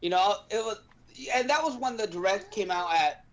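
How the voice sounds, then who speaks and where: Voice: mid-range voice, normal speed. A podcast host talking casually and emphatically about gaming news, ranting at length. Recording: dull lo-fi stream audio.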